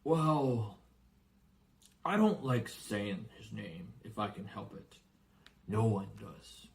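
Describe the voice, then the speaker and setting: A man voicing a big, gruff, excitable but kindly giant with a rustic, blustering way of talking, in a storybook reading. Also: on the low side, slow, full character voice. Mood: anxious, hesitant. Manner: rustic, blustering exclamations and hesitant asides.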